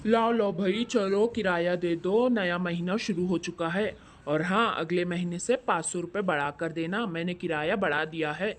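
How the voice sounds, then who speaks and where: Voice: mid-range voice, normal speed, few pauses, close to an everyday voice. A performer voicing a male shop landlord in a scripted Hindi moral story. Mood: stern, demanding. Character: callous male shop landlord, later humbled and grateful.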